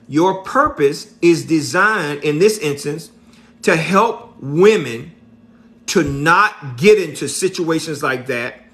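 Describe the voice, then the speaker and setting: A middle-aged man speaks on a livestream with a slightly high voice. He talks very slowly and deliberately, as a motivational speaker. He drives his points home by repeating them and asking "right?" again and again. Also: a bit bright. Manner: preachy, repeats points, asks "right?" often; slow and deliberate.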